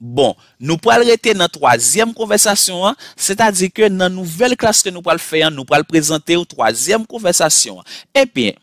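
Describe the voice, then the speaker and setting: A man teaching a language class, saying model phrases slowly and clearly for learners to repeat, then switching to quick explanations. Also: sits high, big pitch swings, a bit bright, no room sound, clean studio sound. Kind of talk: repeat-after-me language drill.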